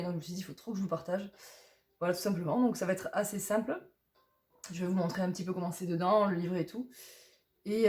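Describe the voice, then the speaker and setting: Young french woman, hoarse from a cold, rambly chatty tarot vlog, low mellow voice. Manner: chatty, rambling with many fillers. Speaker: tarot reader. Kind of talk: casual tarot vlog chat.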